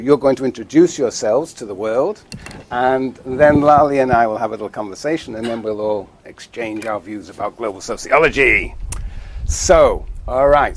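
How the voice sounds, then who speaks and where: A man professor leading a seminar in a classroom, lively and dryly joking. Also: medium pitch.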